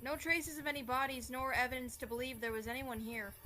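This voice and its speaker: A self-assured police officer in a radio drama, acted by a teenage woman. The voice is high and piercingly bright, with clipped official phrasing. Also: fairly even intonation, a little fast. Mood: confident, official. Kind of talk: radio theatre acting.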